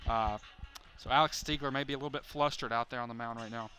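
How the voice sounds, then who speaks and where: A man's voice: mid-range voice, steady tone, fast, bright, forceful tone, soft background music. A man giving conversational color commentary on a live baseball game, often padding his analysis with "you know".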